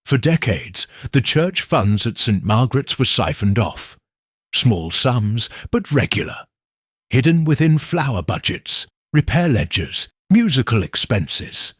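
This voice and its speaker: Cool, exacting detective, read by a man, measured and certain, husky, unhurried, animated delivery. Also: mid-range voice, no room sound, studio quality. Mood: explaining. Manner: measured, coldly certain, deliberate. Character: cool, exacting male detective, middle-aged. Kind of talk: audiobook character reading.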